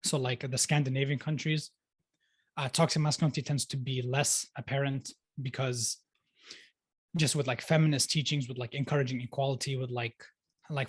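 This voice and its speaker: A man's voice: mid-range voice, no echo. A young man talking casually as a podcast guest, chatty, filling his speech with "like" and laughing easily. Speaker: podcast guest, sociologist and life coach. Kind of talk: casual interview chat.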